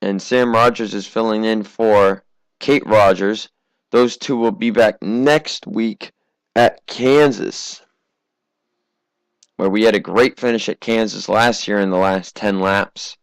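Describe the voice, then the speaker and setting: A teenage American man from Massachusetts giving casual, conversational amateur racing commentary, his voice slightly hoarse from a cough. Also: on the low side, fairly even intonation, slow, dry recording, low-quality recording. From Massachusetts, United States. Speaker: race commentator.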